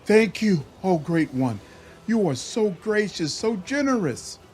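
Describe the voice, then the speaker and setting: A man's voice: high-pitched, very animated, a little slow, a little mellow, slight background noise, voice pushed up. A man voicing a fawning, devout servant of the swarm in a fantasy game, gushing and reverent. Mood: adoring.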